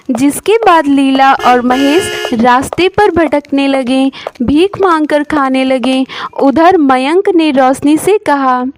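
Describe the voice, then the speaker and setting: High-voiced, slow woman storyteller. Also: slight background noise. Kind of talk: scripted moral-story narration.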